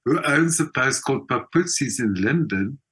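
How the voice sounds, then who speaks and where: An older man with a South African accent, telling anecdotes reflectively as an interview guest and often voicing imagined dialogue in an expressive way. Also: medium pitch, moderate pace, husky. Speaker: interview guest, actor and singer.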